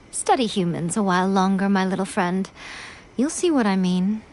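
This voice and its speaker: An actress playing a cunning, self-assured shrine maiden in a video game, playful, coy and knowing. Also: female voice, mid-range voice, lively intonation, unhurried, darkish tone, slight background noise, close to an everyday voice. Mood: coy, amused. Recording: music under the voice.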